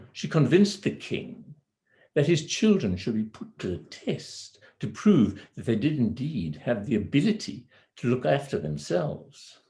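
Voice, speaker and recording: A man tells a fairy tale as an amateur storyteller. His voice sits a little low and moves with lively intonation. He goes slowly and deliberately, pausing between phrases.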